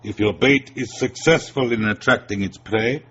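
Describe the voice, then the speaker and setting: A man playing a stern Soviet intelligence general in a radio drama, formal, deliberate and authoritative. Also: medium pitch, a bit bright, slightly rough, muffled recording.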